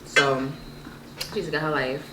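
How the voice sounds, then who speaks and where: A woman in her twenties chatting casually and telling stories in a playful, animated, slangy way. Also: deep voice, moderate pace. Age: 20s. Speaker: vlog guest telling stories with sibling. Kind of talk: casual chat, storytelling. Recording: echoey room with background noise.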